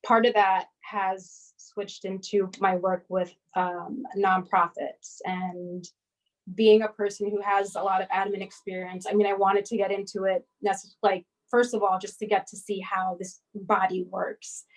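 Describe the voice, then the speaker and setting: A woman born in Barbados speaks, talking to an audience in a loose, conversational way. Her delivery stays level and even, with little rise and fall, and she pauses on fillers and restarts her sentences. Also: mid-range voice, low-quality recording. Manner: conversational, with fillers and self-corrections. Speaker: invited speaker. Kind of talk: personal talk at a live-streamed arts event.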